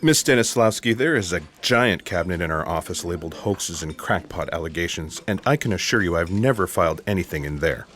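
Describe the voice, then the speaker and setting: An actor playing an earnest, eccentric intelligence agent in sci-fi audio theatre, speaking in a deliberate, formal, deadpan way. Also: male voice, low voice. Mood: earnest, composed.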